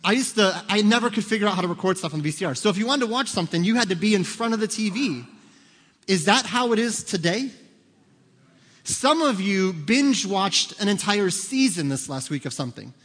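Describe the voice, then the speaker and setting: A man's voice: high-pitched, lively intonation, a little fast, fairly bright tone. A man in his thirties preaching a conversational sermon, joking and quizzing the congregation in a church auditorium. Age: 30s. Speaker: pastor. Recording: long hall reverb.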